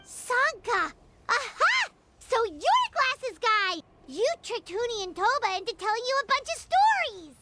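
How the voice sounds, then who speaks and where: A woman voicing a tiny, excitable, childlike fairy companion with a squeaky, indignant manner in a video game. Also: unhurried, heavy background noise, heavily acted. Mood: excited, accusing. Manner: squeaky, speaks of herself in third person.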